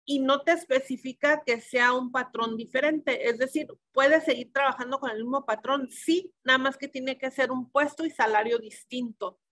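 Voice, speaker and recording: A woman's voice: high-pitched, normal speed, few pauses, a bit bright. A middle-aged woman from Mexico giving a webinar, methodically explaining pension rules, with frequent fillers and self-corrections.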